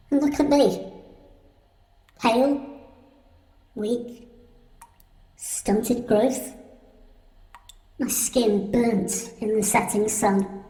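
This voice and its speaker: A frail, embittered cave-dweller voiced by a man in a radio drama. The delivery is slow and measured, with an unusually high voice. It rings through a long hall reverb. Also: a little mellow. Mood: bitter. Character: frail, stunted, bitter male cave-dweller, storyteller. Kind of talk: slow radio play monologue. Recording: echoey hall, music behind.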